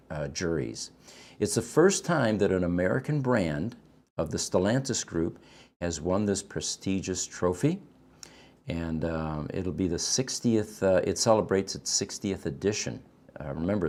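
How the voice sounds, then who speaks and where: Low-voiced German-native man hosting. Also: a little mellow. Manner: halting, many ums and uhs. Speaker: show host. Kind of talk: casual morning show chat. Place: studio set in front of a backdrop.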